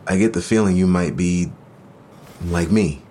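An actor playing an earnest, vulnerable boyfriend in an audio drama, speaking in a halting, searching way. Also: male voice, deep voice, warm tone. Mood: earnest, confiding. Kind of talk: naturalistic audio drama dialogue.

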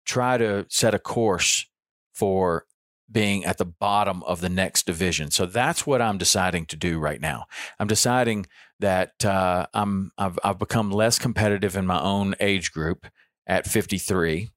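Low-pitched guy in his 50s chatting on his podcast, lots of ums, dry sound. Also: a little slow, a bit bright, dry recording. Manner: conversational, with frequent ums and restarts. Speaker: podcast host. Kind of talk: casual solo podcast monologue.

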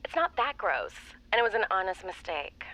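Brash, loving American woman for podcast drama: bright, animated, bubbly and teasing, pushy streak. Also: mid-range voice, fairly quick, low-quality recording. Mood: pushy, exasperated. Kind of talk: naturalistic audio drama acting.

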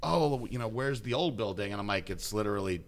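A man's voice: fairly low pitch, animated, brisk. A man hosting a casual interview, upbeat and chatty with frequent restarts. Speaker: host.

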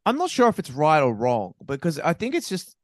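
Opinionated Australian man, animated. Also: fairly high pitch, fairly quick, no echo, studio quality. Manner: animated, opinionated, stammers when excited. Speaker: podcast co-host. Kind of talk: casual sports chat.

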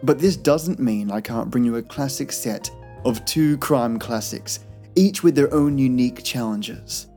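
A man introduces a podcast in a relaxed, dark-toned voice of medium pitch. He is chatty, playful and wry, with faint music behind him. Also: a little slow. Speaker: podcast host.

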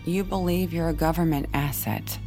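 An actress playing a calm, controlling female psychiatrist, measured and clinical with a cool menace, in an audio drama. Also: low voice, soft background music. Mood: probing, cool.

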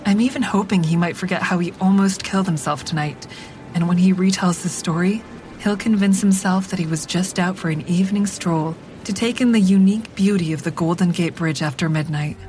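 An actress playing a compassionate woman who tells her own story in measured, reflective first-person drama narration. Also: on the low side, a little mellow, slight background noise, lightly acted, low-quality recording. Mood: reflective, hopeful. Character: compassionate woman patrolling a bridge at night, recounting her rescue.

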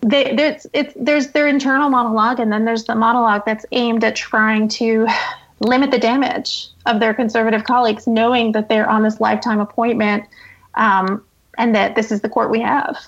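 A woman's voice: a little high, steady tone, moderate pace, lo-fi sound, slight background noise. A woman guest journalist giving conversational, analytical political commentary in an explanatory way.

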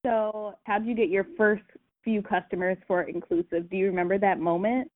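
A woman hosting a podcast, moving her guest along with brisk questions. Her voice comes through a narrow, phone-like line and sounds completely dry. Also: medium pitch, steady tone, no echo. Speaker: podcast host. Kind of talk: interview questions.

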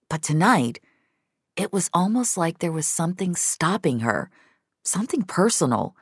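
Very low-voiced woman narrating fiction. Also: no echo, studio quality. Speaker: narrator and reader of an audio fiction episode. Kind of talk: dramatic scripted narration.

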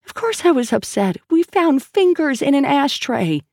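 A narrator voicing a tense, stubborn woman police detective, pitched up and insistent, in an audiobook. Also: big pitch swings, moderate pace, a little mellow, no echo, studio quality. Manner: insistent and agitated. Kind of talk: audiobook character voice.

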